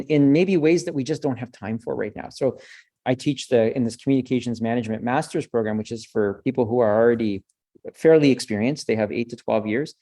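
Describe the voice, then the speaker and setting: A man's voice: medium pitch, warm tone, no echo. A man from French Canada, a native French speaker, answering interview questions as a communications professor in a thoughtful, discursive way, often restarting and tagging "right?".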